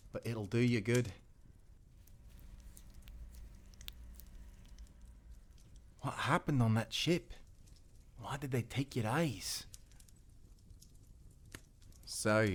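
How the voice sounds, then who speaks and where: Wounded Scottish sailor, male actor: loyal, brave castaway, mid-pitched, soft and earnest, for a pirate adventure audio drama. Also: lightly acted. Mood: weary, caring. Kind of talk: cinematic pirate audio roleplay acting.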